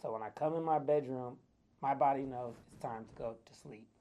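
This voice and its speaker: A man with a raspy voice, tired from too little sleep, talking casually to viewers with lots of fillers. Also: mid-range voice, fairly quick, warm tone. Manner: chatty, loose, lots of fillers. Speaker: wellness vlogger. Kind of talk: casual talk to camera.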